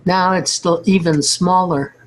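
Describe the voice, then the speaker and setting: A woman with a husky voice, speaking casually and haltingly as she works through a task as a participant. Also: lowish voice, fairly even intonation, slight background noise, low-quality recording. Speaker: participant in a readers theater recording. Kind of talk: casual, halting troubleshooting talk.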